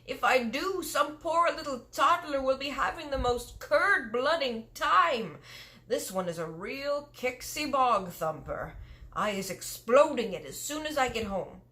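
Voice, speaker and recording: A woman's voice: high-pitched, animated, a little slow, bright, forceful tone, pitched above the performer's own voice, exaggerated voice. A woman voicing a big friendly male giant who talks in muddled grammar and invented words. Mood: earnest, explaining.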